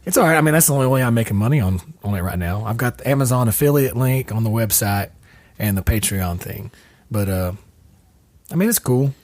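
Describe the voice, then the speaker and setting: A man's voice: mid-range voice, lively intonation, fairly quick, darkish tone. A man hosting a podcast from his home studio room, chatting casually in an easygoing, rambling way. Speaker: podcast host. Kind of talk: casual chat.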